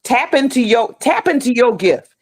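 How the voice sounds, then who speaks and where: A woman's voice: fairly high pitch, sing-song, normal speed. A middle-aged American woman speaking as an interview guest on a video call, heartfelt and emphatic, often repeating phrases. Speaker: podcast guest, stage play cast member. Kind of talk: casual, heartfelt conversation.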